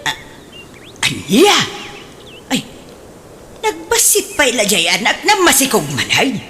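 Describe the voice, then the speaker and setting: A woman's voice: fairly high pitch, wildly swooping pitch, slow pace, a bit bright, a little hiss or hum, exaggerated voice. A woman playing a pious, nagging aunt in an Ilocano radio comedy drama, speaking emphatically with an Ilocano accent. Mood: dismayed, gossipy.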